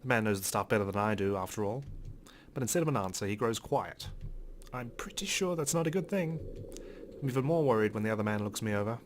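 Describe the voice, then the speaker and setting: A man's voice: fairly low pitch, animated, fast, slight background noise. A man reading a visual novel aloud with dramatic narration and joking asides, as a let's player.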